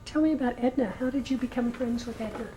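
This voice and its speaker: Woman asking grandma gentle questions, warm mellow tone, bit of noise behind. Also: fairly high pitch, fast, low-quality recording. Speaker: family interviewer. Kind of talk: casual family interview.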